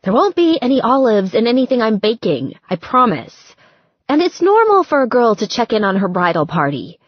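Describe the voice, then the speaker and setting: A woman voicing a bossy, fussing bride in an audiobook, brisk, chirpy and insistent as she talks. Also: on the high side, sing-song, slightly rough, no echo, muffled recording, pitched above the performer's own voice. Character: bossy, brisk, fussing bride organizing her bridal party.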